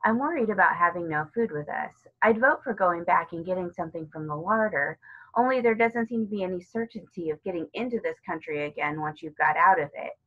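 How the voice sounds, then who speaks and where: Sensible big brother from a kids book woman reading him, firm n measured, husky, slightly muffled. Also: fairly low pitch, expressive. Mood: resolved. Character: sensible boy, eldest sibling, decisive, firm.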